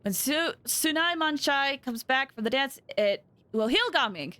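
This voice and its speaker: A woman game streamer chatting casually and excitably, thinking aloud with frequent exclamations as she plays. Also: high-pitched, a little slow. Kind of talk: casual livestream gaming chat.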